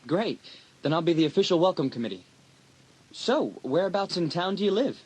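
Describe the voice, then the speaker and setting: A man's voice: sits high, lively intonation, a little fast, husky, low-quality recording. A man playing a friendly, slightly awkward and curious small-town teenage boy in a dramatised audiobook, hesitant but eager.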